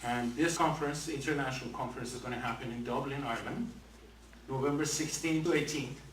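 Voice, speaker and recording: A man's voice: medium pitch, fast talker. Guy making an announcement at an event, echoey and far from the mic. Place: museum event room with audience. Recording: echoey room from far off, faint noise.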